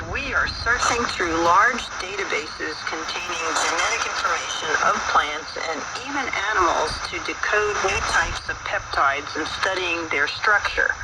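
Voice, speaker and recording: A man hosting a radio talk, explaining informally and reading aloud in a halting, folksy way, heard over shortwave radio. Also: fairly high pitch. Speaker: radio show host. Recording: very noisy shortwave radio broadcast, distant.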